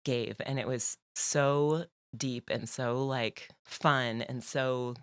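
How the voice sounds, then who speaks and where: A woman's voice: a bass voice, fairly even intonation, slow, clean tone, dry recording. Chatty laughing woman, dry sound. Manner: chatty, laughs often, many fillers. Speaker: podcast guest. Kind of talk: casual conversational interview.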